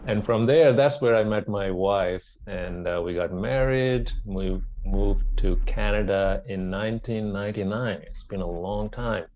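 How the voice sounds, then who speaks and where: A man's voice: fairly low pitch, a little slow, hardly any pauses, muffled recording, slight background noise. A middle-aged man from Pakistan telling his personal story as an interview guest, in a conversational way. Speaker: podcast guest, wellness centre founder.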